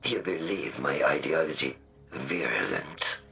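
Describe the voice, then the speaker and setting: Grandiose game villain prophet, super raspy but sharp, music under it. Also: male voice, rumbling low, lively intonation, full character voice. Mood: cold, brooding. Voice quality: gravelly, breathy rasp. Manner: slow, grandiose, portentous. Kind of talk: dramatic video game monologue.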